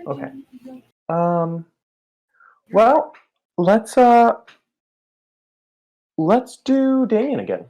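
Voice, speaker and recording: A woman's voice: a little high, expressive, drawn-out words, pauses often, dark tone, rough recording. A woman talking casually as a player in a tabletop role-playing game. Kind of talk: casual chat.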